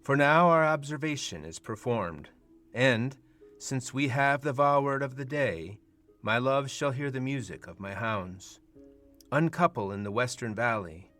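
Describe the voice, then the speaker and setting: A young man playing a proud, commanding duke, declaiming Shakespearean verse deliberately in a radio play. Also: mid-range voice, lively intonation, fairly bright tone, faint music behind. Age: teens or young adult.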